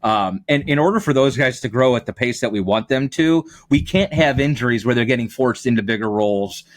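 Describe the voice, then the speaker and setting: A man's voice: medium pitch, a little fast, no echo. A man giving conversational sports analysis as a podcast guest. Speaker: radio play-by-play announcer, podcast guest.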